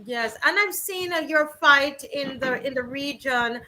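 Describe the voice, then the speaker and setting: A woman's voice: high-pitched, measured pace, sharp tone, a little hiss or hum. A middle-aged woman from Barbados, hosting a virtual rally in a chatty way with many ums and restarts. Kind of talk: casual hosting and introductions.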